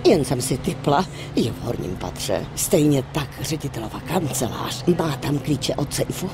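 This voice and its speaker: Brisk, bossy middle-aged woman, lively intonation. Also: a bass voice. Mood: bossy, knowing. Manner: matter-of-fact, chiding. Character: brisk, shrewd, bossy older female office insider. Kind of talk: dubbed video game cutscene acting. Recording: clear vehicle and ambient noise behind.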